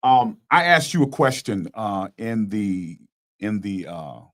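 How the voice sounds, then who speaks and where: A man hosting a talk show and interviewing guests in a studio, animated and casual, often restarting his words. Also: fairly low pitch, slow pace. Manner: animated, laughing, stumbles over restarts.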